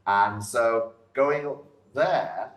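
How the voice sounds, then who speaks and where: A man's voice: mid-range voice, drawn-out words. A man from Salford with a northern English accent chats casually as an interview guest, often repeating himself and leaning on filler phrases. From Salford, north-west England. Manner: repetitive, punctuated with "you know". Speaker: interview guest, independent wrestler. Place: indoors in a pub. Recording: roomy, echoing pub interior.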